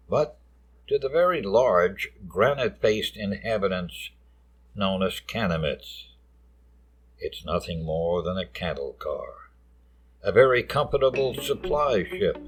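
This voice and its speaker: A man playing a wry, ominous anthology host, narrating radio drama with sardonic, measured delivery and dramatic pauses. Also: low-pitched, slightly rough, heavily acted.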